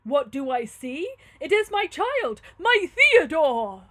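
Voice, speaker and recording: A woman's voice: high voice, animated, normal speed. A woman voicing an anguished, pious old friar in a gothic novel, pleading fervently and melodramatically. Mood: astonished. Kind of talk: gothic novel read aloud.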